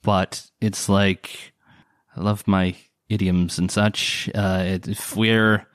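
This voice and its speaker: Man, fairly low pitch, close dry sound, wry, halting phrasing, casual tabletop roleplay. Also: flat delivery, clean tone, no echo. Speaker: podcast tabletop player. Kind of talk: casual improvised in-character chat.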